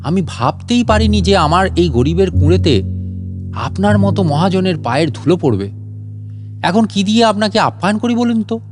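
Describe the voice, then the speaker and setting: A man doing a fawning, high-pitched little gentleman. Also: very animated, moderate pace, soft background music. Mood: gushing, humble. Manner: solicitous, naively admiring. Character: short pot-bellied man, meek, over-polite, fawning.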